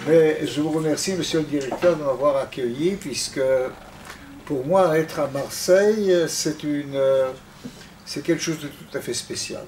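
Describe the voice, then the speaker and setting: Older man, non-native french, very slow halting talk to a crowd. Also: fairly high pitch, background noise. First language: not French. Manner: halting, precise, with frequent pauses. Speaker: guest speaker. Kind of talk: lecture to an audience.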